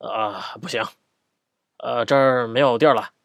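A woman's voice: extremely low pitch, moderate pace, no echo, voice pushed down. A woman voicing a big grown-up mouse in a children's story, sleepy and patient, grumbling and sighing. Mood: grumbling refusal. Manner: grumbling, sighing, matter-of-fact.

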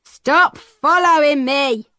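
Woman voicing a surly fat male peddler, slow and raised in pitch, dry close recording. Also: sharp tone, no echo, full character voice. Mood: hostile. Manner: slow, gruff, hostile. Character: fat grumpy middle-aged male peddler.

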